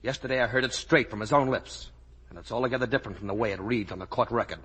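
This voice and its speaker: An actor with an American accent playing an embittered, accusing young lawyer back from war in a 1950 radio drama. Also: male voice, mid-range voice, steady tone, fast talker, fairly bright tone, breathy edge. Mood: accusing, intense.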